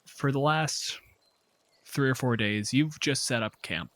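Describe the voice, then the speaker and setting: A man's voice: medium pitch, measured pace, fairly bright tone. A man speaking casually as a game master, narrating scenes off the cuff with some profanity.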